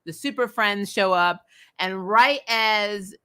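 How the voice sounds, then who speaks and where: A woman hosting a casual, opinionated TV recap, talking in an animated, exasperated way with swearing and frequent laughter. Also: mid-range voice, slow pace, clear, resonant tone. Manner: acts out imagined dialogue. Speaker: host of a TV recap show. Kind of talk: casual opinionated review commentary.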